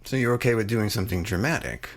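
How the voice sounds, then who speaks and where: A male podcast host asking questions slowly, searching for his words. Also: on the low side, creaky. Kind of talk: interview questions.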